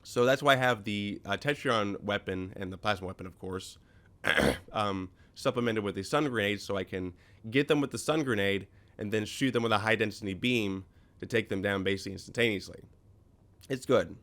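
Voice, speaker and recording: A man's voice: fairly low pitch, normal speed, fairly bright tone. A man hosting a casual game commentary, his voice slightly scratchy from a recent cold. He rambles through explanations with many ums and self-corrections. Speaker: gaming video host.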